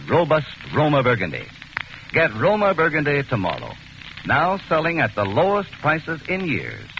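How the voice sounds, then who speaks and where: A man reading a 1940s radio commercial as the announcer, smooth and emphatic. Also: on the high side, a bit bright, a little hiss or hum. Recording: muffled old radio broadcast.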